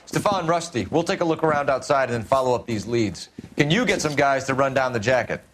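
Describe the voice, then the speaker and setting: An actor with an American accent playing a brisk, courteous, probing police detective in a naturalistic video game style. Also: male voice, medium pitch, lively intonation, a bit bright, a little hiss or hum, close to an everyday voice, poor audio. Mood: businesslike.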